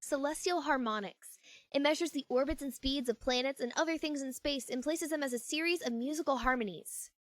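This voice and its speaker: A high-pitched, earnest teenage girl, played by a woman, lonely and anxious beneath her nerdy chatter. She talks in a rambling, conversational way for a fan-made audio drama, recorded close and dry. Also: a little fast, no room sound, close to an everyday voice. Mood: eagerly explaining. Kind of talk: amateur fan-fiction audio drama acting.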